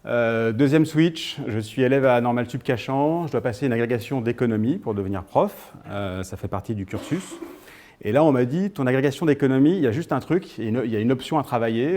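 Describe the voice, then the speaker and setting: A man's voice: medium pitch, lively intonation, brisk, a little mellow. A man of thirty-nine, giving an informal personal talk to an audience in a hesitant storytelling manner. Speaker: guest speaker.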